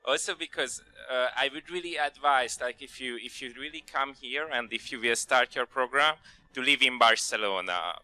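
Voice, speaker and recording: A man's voice: medium pitch, moderate pace, bright, forceful tone, no room sound, rough recording. A young man giving casual answers as a student on a Q&A panel. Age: young adult. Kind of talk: casual spoken testimonial at a Q&A.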